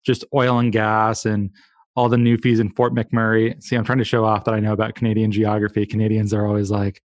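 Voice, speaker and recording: A man's voice: lowish voice, monotone, brisk, no echo. Playful man hosting a podcast, dry sound. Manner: chatty, playful asides and self-deprecating jokes. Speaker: podcast host. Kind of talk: conversational interview.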